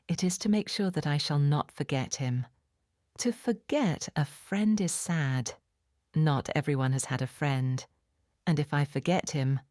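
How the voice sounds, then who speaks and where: A woman narrating a children's storybook in a measured, clearly phrased, expressive bedtime-story manner. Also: sits low, dry recording, clean studio sound. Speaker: audiobook narrator.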